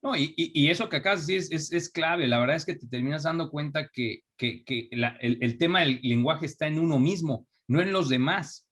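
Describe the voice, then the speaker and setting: A young adult man from Mexico with a Mexican accent, speaking as an interview guest in a relaxed, reflective way with frequent restarts. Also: fairly high pitch, moderate pace, hardly any pauses. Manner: thoughtful, restarts often, reflective. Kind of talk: conversational interview.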